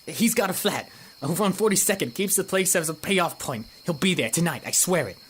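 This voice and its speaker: A male actor as a cornered petty gangster with a fairly high, swooping voice. He is evasive and defensive in a streetwise noir radio style, over faint noise. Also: lively intonation, a little fast, a bit bright. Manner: defensive, hesitant streetwise talk. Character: cornered male small-time racketeer, grudging and evasive. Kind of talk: 1950s noir radio drama acting.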